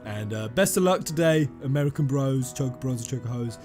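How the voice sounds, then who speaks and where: A man hosting a fan theory video, rambling and emphatic, addressing his viewers directly in an excited commentary style. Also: medium pitch, brisk. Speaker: gaming YouTuber, host. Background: music under the voice.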